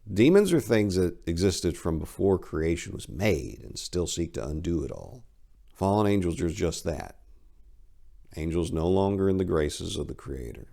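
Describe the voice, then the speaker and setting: A low, gravelly voice for an ancient fallen angel, measured and reassuring, as a man reads him out. He speaks matter-of-factly, never hurried. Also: very animated, dark tone, exaggerated voice. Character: calm fallen angel in a sharp suit, male, ancient.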